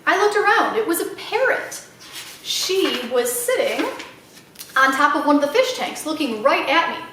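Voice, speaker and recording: A woman reading a children's book aloud as an expressive storyteller. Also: high-pitched, normal speed, far from the mic, background noise. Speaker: library read-aloud storyteller. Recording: very echoey room, away from mic.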